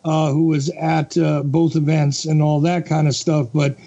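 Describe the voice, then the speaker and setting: A man hosting a podcast interview, asking steady, probing questions. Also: fairly high pitch, normal speed, creaky, lo-fi sound.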